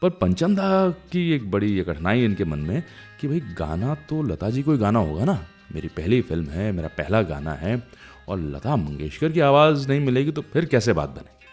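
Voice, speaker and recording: A man hosting a radio music show, telling stories in a chatty, conversational way with dramatic pauses and emphasis. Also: lowish voice, sing-song, a little mellow, slightly rough.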